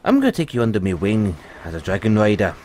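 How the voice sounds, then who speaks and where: A man playing a free-spirited, kindly dragon rider and mentor in an audio roleplay, musing and unhurried with pauses. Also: on the low side, huge pitch swings, a little mellow, slight background noise. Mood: encouraging, hopeful.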